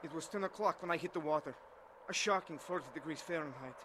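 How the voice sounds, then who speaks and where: A man's voice: a little high, quick pace, a bit bright, slight background noise, lightly acted. An actor playing an earnest, haunted young Russian defector who tells his story in a dramatized testimony. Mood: grave, tense. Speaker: first-person narrator of a dramatized testimony. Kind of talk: dramatized scripted narration.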